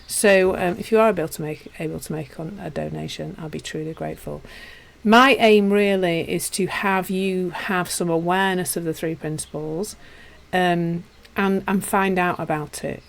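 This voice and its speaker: Chatty Yorkshire woman, slightly low voice. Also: fairly even intonation, moderate pace, vocal fry. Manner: chatty and self-deprecating, with frequent restarts and laughs. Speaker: meditation teacher. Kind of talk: live-stream chat with viewers.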